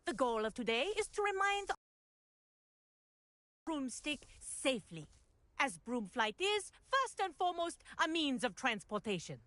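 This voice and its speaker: A woman's voice: sits high, big pitch swings, a little slow, a bit bright, no echo, full character voice. A woman playing a strict, proper flying instructor at a wizard school in a video game, speaking formally and firmly. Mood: strict, instructive. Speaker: flying teacher.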